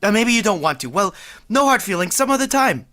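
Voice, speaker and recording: A woman's voice: medium pitch, big pitch swings, brisk, pushed and bright. A woman voicing an awkward, lovestruck young man in a vintage-style radio play, earnest and rambling. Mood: bashful. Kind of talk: 1940s radio acting.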